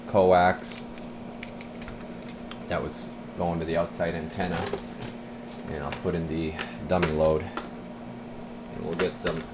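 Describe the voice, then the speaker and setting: Male technician, deep voice, informal rambling demo style, noisy workshop sound. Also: flat delivery, slow, pauses often. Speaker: radio repair technician presenting his bench work. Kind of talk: casual hands-on demonstration vlog. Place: indoor radio repair workbench.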